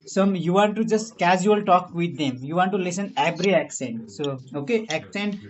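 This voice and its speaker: Indian guy hosting, kinda high voice, earnest, muffled lo-fi audio. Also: normal speed. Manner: earnest, clears throat, broken phrasing. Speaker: host. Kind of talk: casual chat. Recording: muffled lo-fi recording.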